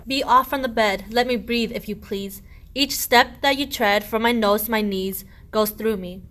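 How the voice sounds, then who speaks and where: A woman acts a sharp-tempered wife in an archaic radio play, pretending to be in agony after childbirth. Her fairly high voice groans and complains melodramatically in rhyme. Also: normal speed, a little hiss or hum, close to an everyday voice. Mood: irritable.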